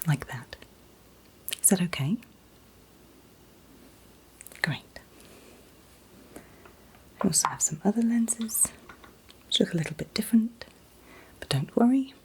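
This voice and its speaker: A woman in a gentle, soothing ASMR roleplay as an optician, whispering breathily and reassuringly without hurry. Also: medium pitch, animated, slow, long pauses, background noise. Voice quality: breathy, mostly unvoiced whisper. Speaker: ASMR performer playing an optician.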